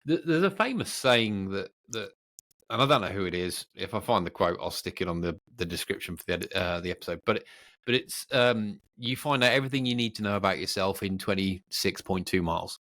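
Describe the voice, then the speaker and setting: Male podcast co-host, low voice, chatty and informal, thinking out loud. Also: animated, fairly quick. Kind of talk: casual podcast chat.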